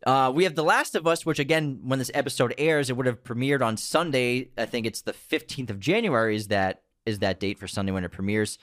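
A man's voice: medium pitch, brisk, few pauses, a bit bright, no room sound, clean studio sound. A man co-hosting a podcast, casually running through upcoming video game adaptations and correcting himself with good humor. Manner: lists upcoming projects, self-correcting, playful. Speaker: podcast co-host. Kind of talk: casual movie news chat.

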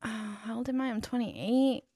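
A woman's voice: a little high, fairly even intonation, normal speed, dark tone, husky. A woman in her late twenties chatting casually and playfully, often agreeing and teasing the others. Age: 20s. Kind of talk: casual chat.

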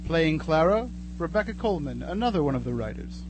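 An actor playing a sardonic, gleefully macabre narrator and announcer in old-time radio horror style, theatrical and wry. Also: male voice, a little high, big pitch swings, a little fast, noisy background. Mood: mock-solemn.

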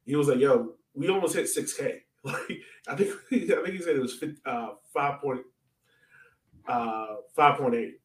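A man chats about soccer as a podcast host, informal and excitable, full of slang. His voice sits at a middling pitch. The recording is quite echoey, as if he is a bit away from the microphone. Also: measured pace. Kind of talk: casual fan chat about soccer. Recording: roomy echo, distant from mic.

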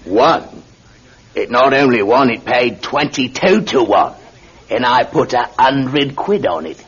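An actor playing a blunt, eager working-class British landlord with a betting habit in a classic radio drama, speaking colloquially. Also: male voice, mid-range voice, expressive, slow, fairly bright tone, exaggerated voice. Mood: excited, delighted. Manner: colloquial, uses "me" for "my". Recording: muffled radio recording with clear noise.

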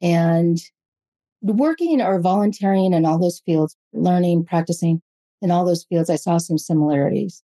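A middle-aged woman, a parenting coach, explaining things step by step in a measured, casual way. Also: fairly low pitch, dark tone. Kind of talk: casual conversation.

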